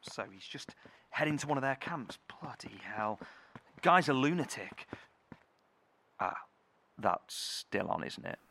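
A man's voice: mid-range voice, animated, unhurried, gravelly, long pauses, sharp tone, heavily acted. A British man acting in an audio drama as a cautious, dutiful bunker head engineer with formal wording. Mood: exasperated.

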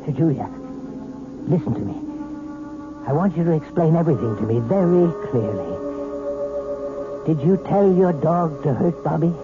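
An actor playing a calm male psychiatrist who speaks in a measured, soothing way, in a 1970s radio drama. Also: a little high, over music. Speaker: doctor. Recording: tinny 1970s radio broadcast with hiss.

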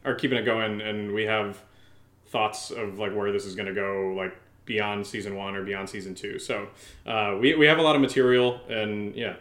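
A man's voice: fairly low pitch, fairly even intonation, moderate pace, a bit bright. Echoey man, loose casual podcast co-host. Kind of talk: casual Q&A chat. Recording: echoey, roomy sound.

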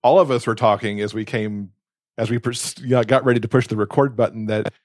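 A man's voice: fairly low pitch, fairly even intonation, fairly quick, a little mellow, no room sound, studio quality. A man co-hosting a podcast in casual, reflective conversation, speaking earnestly in long, unfolding thoughts. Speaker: podcast co-host.

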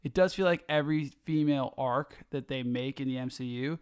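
A man's voice: medium pitch, a little fast, a little mellow, clear, resonant tone, no room sound, clean studio sound. A man co-hosting a casual film review podcast, blunt and wry, swearing freely as he gives his opinions. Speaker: podcast co-host.

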